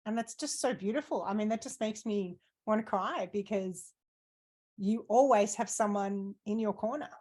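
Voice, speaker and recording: A woman's voice: mid-range voice, normal speed. A woman asking questions in a casual conversation, speaking in a heartfelt, thoughtful way. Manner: warm, emotional, thoughtful. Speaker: interviewer. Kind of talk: casual chat.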